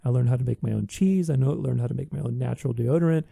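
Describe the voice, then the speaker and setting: A man's voice: medium pitch, fast talker, vocal fry, warm tone, slightly rough, dry recording, clean studio sound. A man hosting a podcast, talking earnestly in a reflective solo monologue, with many restarts. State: recovering from voice loss.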